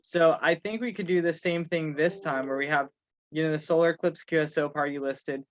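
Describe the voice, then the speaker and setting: A man hosting an informal online meeting, thinking aloud in a halting way as he organizes things. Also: a little high. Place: online conference call. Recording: muffled video call audio.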